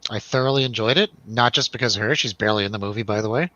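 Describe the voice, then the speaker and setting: A man's voice: lowish voice, racing, pushed and bright, no echo, highs cut off. A man hosting a casual movie review chat, joking and irreverent in the way he talks. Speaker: host of a movie review show.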